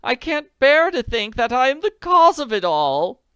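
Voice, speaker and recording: A woman voicing an agitated, elegant middle-aged woman in a storybook, pleading in a breathless, drawn-out way. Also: a little high, sing-song, fairly bright tone, clean tone, dry recording, clean studio sound, pitched above the performer's own voice. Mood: distressed. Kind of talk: audiobook character voice.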